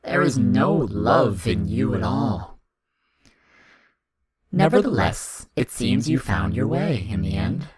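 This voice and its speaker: Otherworldly evil child, played by a man: lowish, deliberate, coldly formal, heavy layered murmuring behind every line. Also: big pitch swings, a loud crowd. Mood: bitter, demanding. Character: sinister otherworldly demon child, boy, eerie and coldly formal.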